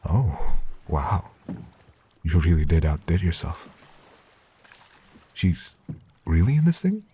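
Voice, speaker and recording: Audio drama hunter: male actor as an encouraging guild veteran, dark mellow voice, slow casual delivery, slight background noise. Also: sits low, sing-song, exaggerated voice. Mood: calm curiosity. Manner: unhurried, casual, approving.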